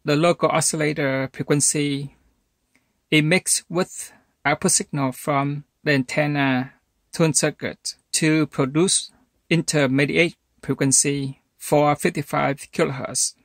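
A man presents a hands-on electronics demonstration at a very slow pace. His phrases are short and halting, with little melodic movement. The sound is dry, with almost no room in it. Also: fairly high pitch, fairly even intonation, no echo. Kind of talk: instructional demonstration narration.

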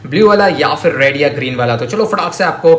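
A man with an Indian accent giving a gushing, enthusiastic toy demonstration as a review host, excitable and repetitive. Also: fairly high pitch, brisk. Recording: echoey room with faint noise.

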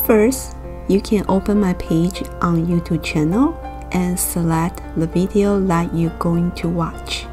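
A young woman from Taiwan, gently narrating a calm voice-over for her watercolor painting tutorial. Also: fairly low pitch, over music. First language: Mandarin. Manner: gentle, unhurried explaining. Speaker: watercolor art channel host.

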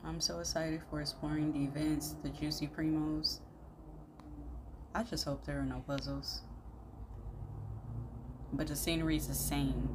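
A woman's voice: deep voice, background noise. A young adult woman giving casual, slangy, opinionated reaction commentary, prone to exclaiming. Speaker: YouTube reaction host.

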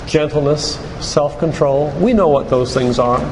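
A man's voice: fairly high pitch, unhurried. Man preaching in an echoey hall. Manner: emphatic, preacherly, with rhetorical questions. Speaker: physician giving a faith-based lecture. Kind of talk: sermon-like church lecture. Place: church, speaking to a congregation. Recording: echoey church hall with background noise.

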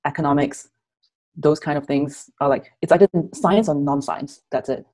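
A woman's voice: sits low, moderate pace. A woman in her thirties from Malaysia, chatting and laughing easily as a guest on a video call, speaking through an earphone mic in a small room at home. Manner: relaxed, giggly, chatty. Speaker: guest content creator. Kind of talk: casual video call interview.

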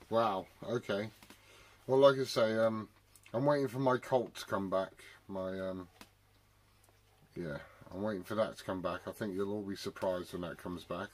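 A British man bantering casually as a CB radio operator, in an informal manner. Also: deep voice. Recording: CB radio chatter with light hiss.

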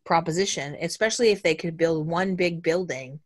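A woman co-hosting a travel podcast, calmly laying out her points. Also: on the low side, a little fast, no echo, clean studio sound. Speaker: podcast co-host. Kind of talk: casual chat.

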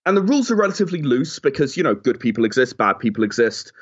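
A man's voice: medium pitch, very animated, fast talker, husky. A man giving chatty, enthusiastic, emphatic answers as a guest in a conversational interview. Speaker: interview guest, game creator. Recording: dull, lo-fi audio.

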